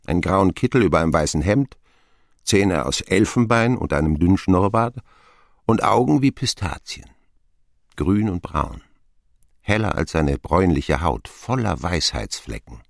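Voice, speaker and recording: A man's voice: deep voice, measured pace, vocal fry, no room sound, clean studio sound, full character voice. An actor playing a middle-aged man who wryly recalls his boyhood as narrator of a radio play. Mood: reminiscing. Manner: wry, expressive storytelling. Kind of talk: scripted first-person narration, radio play.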